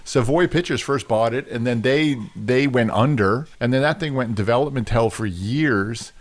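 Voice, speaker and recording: A man hosting a casual chat who talks in a rambling, animated way and laughs easily. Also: medium pitch, normal speed.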